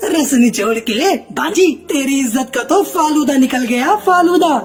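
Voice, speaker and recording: A woman's voice: high-pitched, expressive, unhurried, soft background music. Woman voicing a swooping, goading crow uncle. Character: scheming tattling crow uncle with catchphrase. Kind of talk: Hindi children's cartoon acting.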